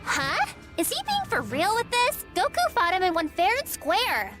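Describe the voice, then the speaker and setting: A woman playing an excitable, skeptical young adventurer in a game, talking in casual exclamations. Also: very high voice, normal speed, loud music behind the voice, heavily acted. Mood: incredulous.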